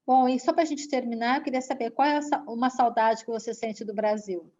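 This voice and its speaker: Uma mulher baiana entrevistando por chamada de vídeo, com perguntas simpáticas e respostas curtas. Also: female voice, fairly high pitch, steady tone, a little fast, darkish tone. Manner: friendly, short affirmations. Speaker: interviewer host. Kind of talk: casual interview questions. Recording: dull lo-fi call audio.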